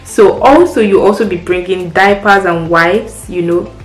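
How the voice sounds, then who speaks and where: A woman's voice: lowish voice, measured pace. Chatty woman, echoey room. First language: Yoruba. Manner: chatty, friendly, practical tips. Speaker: parent vlogger. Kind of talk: casual advice vlog. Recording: echoey room with faint music.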